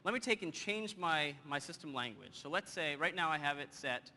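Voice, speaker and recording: A man in his thirties giving a lively live software demo on a conference stage, joking with the audience. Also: fairly high pitch. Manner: energetic, quick and joking with the audience. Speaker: presenter. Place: large conference hall stage. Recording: hall reverb, faint noise.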